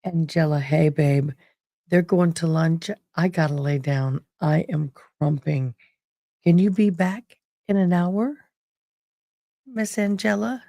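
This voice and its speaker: A woman's voice: sits low, takes it slowly, warm tone, dry recording, clean studio sound. A tired woman hosting a casual livestream, her voice worn out and struggling, who talks affectionately to her viewers. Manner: affectionate, calls viewers babe and love. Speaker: livestream host covering a trial.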